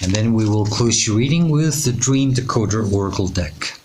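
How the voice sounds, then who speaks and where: A man with a raspy, hoarse voice giving a tarot reading, speaking in a reassuring, unhurried way with many pauses and fillers. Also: on the low side, expressive, background noise. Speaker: tarot reader. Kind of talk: intuitive tarot reading to camera.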